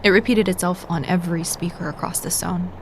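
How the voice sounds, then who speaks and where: An actress playing a frightened young woman musician on the run, telling her story in a hushed, measured, tense way in an audio drama. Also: fairly low pitch, steady tone, noisy background, natural voice. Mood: tense, grave. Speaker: narrator and lead character. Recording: music bed under voice.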